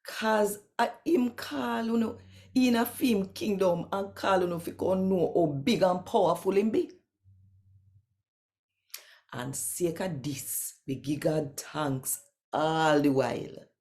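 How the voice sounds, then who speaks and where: Slow, mellow Jamaican woman reading scripture. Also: on the low side. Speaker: scripture reader.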